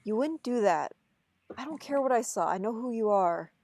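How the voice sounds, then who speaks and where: A woman's voice: fairly high pitch, animated, moderate pace, a little mellow, slight background noise. A woman playing an earnest, desperate young man in a table read of a film script, pleading with emotion. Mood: desperate, insistent.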